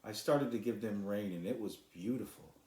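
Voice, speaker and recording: A man voicing a sad, weary, gentle female cloud in a children's storybook, apologetic and wistful. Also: fairly low pitch, normal speed, close to an everyday voice. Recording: roomy echo.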